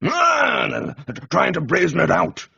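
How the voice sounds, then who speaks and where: A man voicing a pompous, blustering older army major in an audiobook, with a gravelly, husky voice that jumps to high exclamations. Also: wildly swooping pitch, slow pace, bright, forceful tone, dry recording, muffled recording, full character voice. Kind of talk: audiobook character voice.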